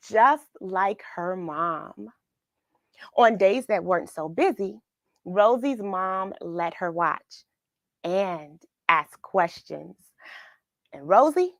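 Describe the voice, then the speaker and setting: Unhurried American woman hosting children's read-alongs. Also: lowish voice, no echo. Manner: encouraging, question-asking, addresses children directly. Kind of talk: chatty livestream greetings and story reading for children.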